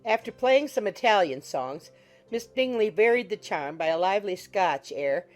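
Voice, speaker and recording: A woman's voice: medium pitch, animated, normal speed. A woman reading scripted literary narration as an audiobook narrator. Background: soft music.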